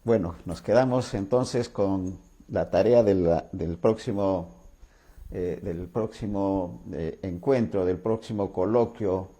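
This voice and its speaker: A man's voice: deep voice, slow, mellow. A man hosting an interview, courteous, with frequent fillers and restarts. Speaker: talk show host.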